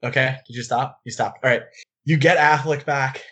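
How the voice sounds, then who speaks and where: A man's voice: mid-range voice, fairly even intonation, moderate pace, bright, forceful tone. A man talking casually about films as a podcast co-host, enthusiastic and given to rambling asides. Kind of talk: casual film discussion.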